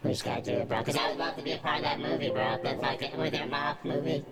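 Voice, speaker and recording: Gravelly man in slangy podcast chat. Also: medium pitch, very fast, over a noisy crowd. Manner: slangy, profane, addresses others as bro and dude. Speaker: podcast guest and co-host. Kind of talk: casual joking podcast chat.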